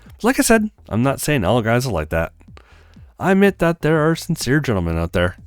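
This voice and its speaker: A man's voice: medium pitch, huge pitch swings, brisk, clean tone, no echo, clean studio sound. A man voicing a friendly, frank young female handywoman in a visual novel, breezy and reassuring. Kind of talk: acted visual novel read-aloud.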